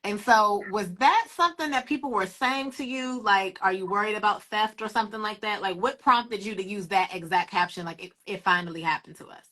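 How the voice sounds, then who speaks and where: A woman's voice: mid-range voice, brisk, few pauses, sharp tone. An American woman hosting a casual interview in a chatty, conversational way. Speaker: host, interviewer. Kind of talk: casual chat.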